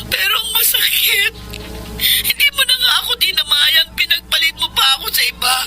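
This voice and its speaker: A woman's voice: very high-pitched, normal speed, low-quality recording. A woman playing a wronged but forgiving mother in an earnest, emotive style of Filipino radio drama. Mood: hurt, angry. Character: wronged, forgiving, devoted mother who sells rice cakes. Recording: radio drama with music underneath.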